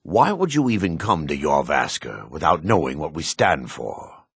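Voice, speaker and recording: An actor playing a proud, gruff dark elf warrior in a fantasy game, with a raspy voice and a haughty, clipped, sardonic manner. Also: male voice, very deep voice, very animated, measured pace, no room sound, clean studio sound, full character voice. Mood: solemn, proud. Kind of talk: fantasy video game character dialogue.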